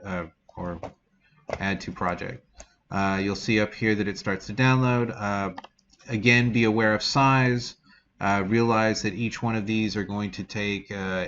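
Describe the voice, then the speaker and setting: Deep-ish guy walking thru a software demo, kinda rambly and informal, bit of noise behind him. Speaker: game development instructor. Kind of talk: casual screen-recorded software tutorial walkthrough. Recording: dull lo-fi mic with background noise.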